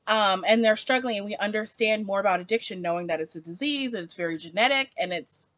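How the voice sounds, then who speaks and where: A woman's voice: medium pitch, fast talker. A woman in her twenties talking emphatically in a casual opinion vlog, with lots of "like" as she works through her thoughts. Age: 20s. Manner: animated, emphatic, heavy use of "like". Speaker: book vlogger.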